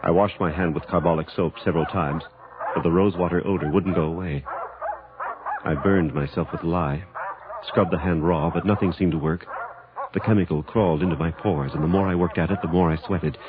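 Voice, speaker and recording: An actor playing a scientist husband who narrates his own lab mishap in an old radio drama, with lively storytelling. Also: sits low, a little fast, natural voice. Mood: narrating. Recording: dull lo-fi radio drama recording with hiss.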